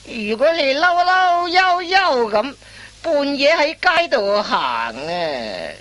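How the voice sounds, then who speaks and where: A woman playing a wily veteran master thief in a comic radio play, instructing in drawn-out phrases full of interjections. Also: high voice, very animated, fairly bright tone, exaggerated voice, poor audio. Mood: fussy, advising.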